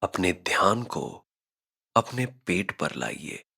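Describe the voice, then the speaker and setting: A man narrating a scripted guided meditation, giving measured instructions with frequent pauses. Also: low-pitched, very animated, creaky, bright, forceful tone, husky.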